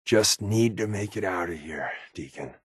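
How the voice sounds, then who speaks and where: Casting: sardonic male undercover operative, video game companion; glib, joking, very lively pitch movement, close dry sound. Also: moderate pace, husky, no echo. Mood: hurried, earnest. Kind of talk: video game voice acting, conversational.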